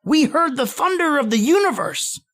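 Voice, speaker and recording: A woman's voice: high voice, normal speed, heavily acted. A woman acting an eager, dismissive amateur astronomer husband in an old-time radio horror drama, lecturing emphatically. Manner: emphatic, lecturing, repeats words when excited.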